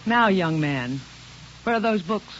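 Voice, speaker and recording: Woman acting fussy, scolding mother, lively pitch. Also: natural voice. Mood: stern scolding. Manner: brisk, disapproving, no-nonsense. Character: scolding, fussing, practical small-town mother, middle-aged woman. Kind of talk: old-time radio drama acting. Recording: hissy, dull vintage radio recording.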